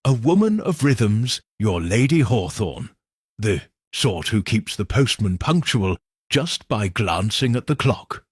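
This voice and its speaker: A male narrator plays an elderly lady detective in an audiobook mystery, mild and knowing. She speaks slowly and deliberately, with a composed, shrewd temper. The pitch rises and falls expressively, with a slightly husky tone. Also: big pitch swings, dry recording, studio quality, exaggerated voice. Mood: wry, knowing.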